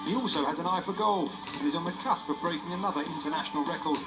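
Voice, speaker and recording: Higher-pitched male sports news narrator. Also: rapid-fire, rough recording. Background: music bed.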